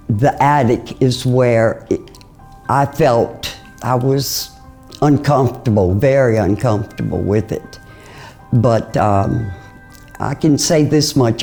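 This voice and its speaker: A woman in a casual interview, speaking in a halting, emphatic way. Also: rumbling low, warm tone.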